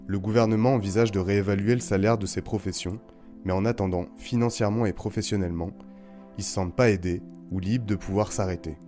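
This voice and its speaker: A man, the podcast's narrator, reads a scripted summary in an even delivery. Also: fairly low pitch, quick pace. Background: music bed.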